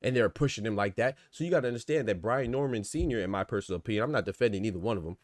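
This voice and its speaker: A man's voice: on the low side, expressive, very fast, dry recording, studio quality. A man from the United States hosting a boxing podcast, giving casual, opinionated livestream commentary in colloquial, conversational speech.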